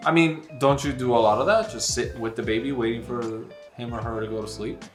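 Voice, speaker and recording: A man's voice: mid-range voice, steady tone, brisk. Man chatting casually in an echoey room. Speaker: book vlog co-host. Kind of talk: casual book chat. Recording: roomy echo, faint music behind.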